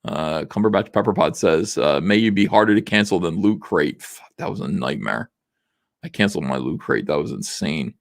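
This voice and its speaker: A man in his forties hosting a casual livestream, reading viewer messages aloud, rambling with lots of fillers while his voice is going rough. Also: low-pitched, animated, moderate pace. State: losing his voice. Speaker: livestream host. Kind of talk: casual livestream chat reading super chats.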